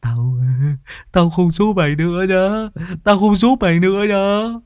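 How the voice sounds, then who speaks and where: A man's voice: fairly high pitch, big pitch swings, slow, a little mellow, no echo, highs cut off, voice pushed up, full character voice. A man voicing a ragged, crazed old sorcerer in an audio horror story, rambling and repeating himself with a cackling manner. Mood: whimpering, hurt. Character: ragged crazed old male sorcerer, menacing then whimpering.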